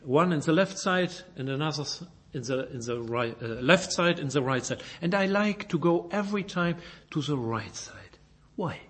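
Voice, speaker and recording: Slow, husky Belgian preacher. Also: male voice, mid-range voice, a bit bright. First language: French. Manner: halting, repeats words, reads scripture aloud. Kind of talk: sermon.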